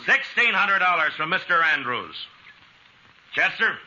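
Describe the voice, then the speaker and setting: An American man playing a steady, wry frontier marshal in a 1950s radio western, measured and authoritative. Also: sits high, full character voice. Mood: brisk auctioneering. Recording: muffled, very noisy old radio recording.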